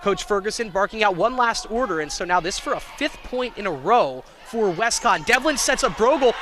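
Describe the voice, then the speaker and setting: A man calling live college volleyball play-by-play in an excitable, self-deprecating way. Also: extremely high pitch. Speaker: play-by-play sports commentator. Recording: clear gym noise, bouncing balls. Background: indoor volleyball match ambience.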